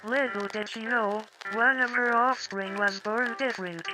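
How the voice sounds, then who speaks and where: A woman's voice: a little high, fairly even intonation, normal speed, low-quality recording. A woman storyteller giving a scripted fairy-tale narration. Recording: music under the voice.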